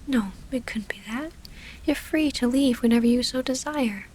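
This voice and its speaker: Woman acting a soft-whispering, refined female vampire. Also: fairly high pitch, moderate pace. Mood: hurt, confronting. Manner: soft, breathy half-whisper, formal old-fashioned diction. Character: gentle, formal female vampire noble, wounded but devoted lover. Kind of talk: intimate ASMR roleplay audio drama.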